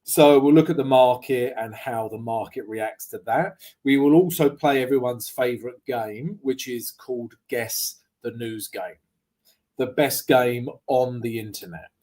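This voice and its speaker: A man with a slightly croaky voice, hosting a live trading stream in a lively, joking, chatty way. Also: mid-range voice, a little slow. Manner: lively, joking, chatty with viewers, frequent "right?" and "guys". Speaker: forex trading livestream host. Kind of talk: casual live trading chat. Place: home setup abroad, streaming live.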